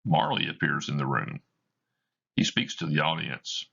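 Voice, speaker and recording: A male teacher reading a play aloud for a class, explaining slowly and deliberately. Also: rumbling low, fairly even intonation, gravelly, sharp tone, slightly rough, dull audio.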